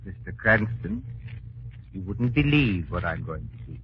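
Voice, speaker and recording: An actor playing a sinister, coldly gloating mad scientist in a 1940s radio drama, menacing and theatrical. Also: male voice, fairly low pitch, very animated, a little slow. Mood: gloating menace. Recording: muffled 1940s radio recording.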